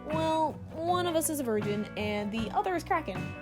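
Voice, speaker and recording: An actress playing a crude, cocky love mentor in an audio drama, with slangy, mock-wise teasing. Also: female voice, high voice, animated, moderate pace, over loud music. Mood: smug.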